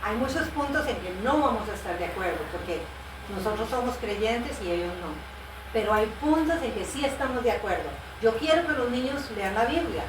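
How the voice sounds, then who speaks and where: A woman's voice: on the high side, animated, a little fast. A woman who works as a missionary Bible translator, patiently explaining her work in a conversational interview, with fillers and self-corrections. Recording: roomy, distant mic with background noise.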